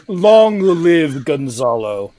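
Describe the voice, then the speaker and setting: An actor playing a sly, scoffing noble schemer in a Shakespearean audio drama, recorded at home. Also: male voice, a little high, very animated, drawn-out words, dark tone, full character voice. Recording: clearly noisy home recording.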